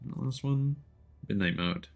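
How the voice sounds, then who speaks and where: A man's voice: medium pitch, lively intonation, measured pace, gravelly. A man livestreaming a game, casually explaining how it works in a hesitant, thinking-aloud way. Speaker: video game streamer. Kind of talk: casual gameplay commentary, explaining mechanics.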